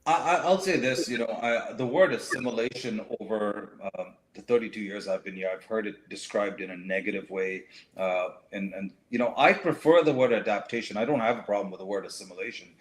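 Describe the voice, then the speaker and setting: A middle-aged man raised in the Middle East, speaking as a talk show guest in a thoughtful, measured way with frequent fillers and restarts. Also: on the low side, a little hiss or hum, rough recording. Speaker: guest, writer and educator. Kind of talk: reflective talk show conversation.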